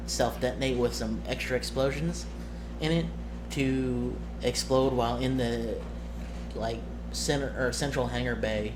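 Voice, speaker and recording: A man talking casually to his viewers as a streamer and game master, halting and rambling as he corrects himself. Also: mid-range voice, steady tone, noisy background.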